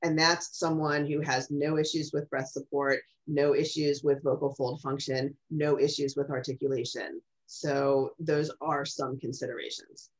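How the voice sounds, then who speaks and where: A middle-aged woman with a strong voice explaining things in a measured way as a specialist on a webinar panel. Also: low voice, fairly even intonation. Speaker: speech-language specialist on a panel. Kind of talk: webinar question-and-answer. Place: small office, indoors. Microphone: video call. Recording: dull video-call audio.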